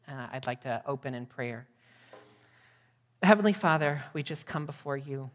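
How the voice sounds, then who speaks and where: A woman's voice: extremely low pitch, animated, moderate pace. An American woman giving a sermon to a congregation as a guest missionary preacher. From United States, Midwest. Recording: long hall reverb.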